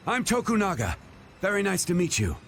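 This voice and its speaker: A man's voice: high voice, huge pitch swings, brisk, gravelly, a bit bright, slight background noise, exaggerated voice. A man playing a polite, mild-mannered ship's pilot in a video game, explaining things helpfully and courteously. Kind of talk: video game dub acting, instructional.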